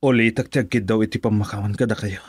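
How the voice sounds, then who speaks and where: A man's voice: lowish voice, fairly even intonation, fast, husky, no echo. A man with a Filipino accent acting a contrite young suitor in a radio drama, subdued and pleading.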